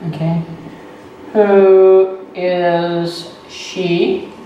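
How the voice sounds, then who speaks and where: A man, teaching beginner English slowly and patiently, repeating phrases and checking in with "okay" and "right". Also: sits high, little pitch movement, warm tone, lo-fi sound. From Texas. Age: 30s. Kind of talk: slow, deliberate beginner English lesson. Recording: echoey room, voice far from the mic, faint noise.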